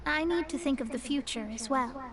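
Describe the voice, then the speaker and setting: A woman's voice: high voice, fairly quick, a crowd in the background, natural voice. An actress voicing a proud, earnest young woman astrologer, a bit prickly, in a video game. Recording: game audio with music underneath.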